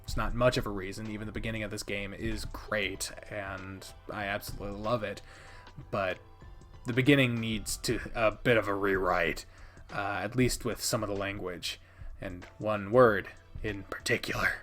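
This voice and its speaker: A man's voice: fairly low pitch, fairly even intonation, normal speed, a bit bright. Emphatic man reviewing games over music. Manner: emphatic, dramatic build-ups with rhetorical questions; casual swearing. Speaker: video creator ranking favorite games. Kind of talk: opinionated review commentary, conversational.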